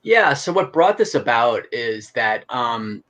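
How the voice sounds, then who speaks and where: A man's voice: mid-range voice, big pitch swings, slow pace, fairly bright tone. A middle-aged man, an actor and writer, explaining his work as a guest in a casual, enthusiastic interview chat.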